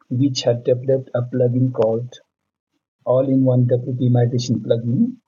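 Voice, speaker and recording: A man from Bhutan reads his story steadily as a podcast guest. His voice is very dark and a little husky. A dull, lo-fi mic carries it. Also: mid-range voice. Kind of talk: prepared testimonial.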